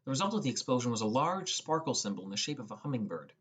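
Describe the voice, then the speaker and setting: A man's voice: medium pitch, very animated, very fast, a bit bright, husky. A young man reading scripted first-person narration as an audiobook narrator.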